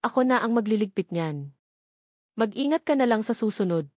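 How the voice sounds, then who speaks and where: Brief: woman reading a soft-spoken young husband, patient and gentle, close and dry. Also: fairly high pitch, moderate pace, no room sound. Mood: calm. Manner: calm, gentle, even-tempered. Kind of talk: audio drama reading.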